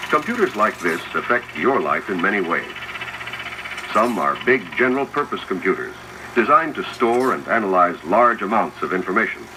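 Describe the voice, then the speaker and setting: A man reading scripted, instructive narration for a 1970s educational film, addressing the viewer directly. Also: fairly low pitch. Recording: noisy 1970s 16mm film soundtrack, projector whir. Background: mechanical projector noise.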